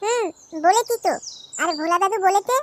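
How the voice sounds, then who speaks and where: Small aggrieved child, extremely high, fast, whiny complaints for comedy animation. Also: female voice, fairly bright tone, a little hiss or hum. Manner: sulky, complaining. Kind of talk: Bengali comedy cartoon voice acting.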